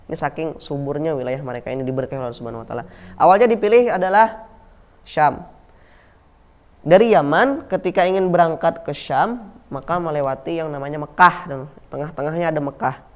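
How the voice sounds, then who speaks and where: A man's voice: a little high, a little fast. Indonesian male teacher, lo-fi recording. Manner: repeats key words, asks and answers his own questions; frequent "nah" and "yalah" fillers. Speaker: Islamic school teacher. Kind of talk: classroom lecture on Islamic history. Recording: dull lo-fi recording.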